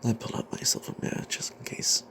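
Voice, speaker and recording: A man as a whispering, greedy adventurer cleric. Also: lightly acted. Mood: tense, secretive. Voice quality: breathy whisper with creaky edges. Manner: slow, hushed, secretive, hesitant pauses. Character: greedy, cowardly male adventurer cleric, sly and grudging. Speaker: narrator of a tabletop fantasy tale. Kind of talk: breathy whispered ASMR first-person storytelling.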